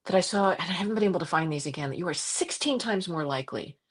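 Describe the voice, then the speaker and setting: A middle-aged woman from Croatia, a journalist guest talking passionately in an interview, with frequent restarts and quick laughs. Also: slightly rough. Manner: animated, impassioned, jumps to high pitch for quoted voices. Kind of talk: conversational interview.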